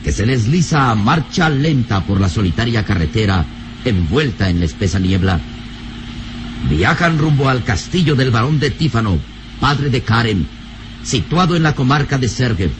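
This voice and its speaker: A man's voice: medium pitch, expressive, moderate pace, close to an everyday voice. A man narrating an old radio serial from a script, in a florid, solemn, theatrical manner. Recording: noisy old radio recording.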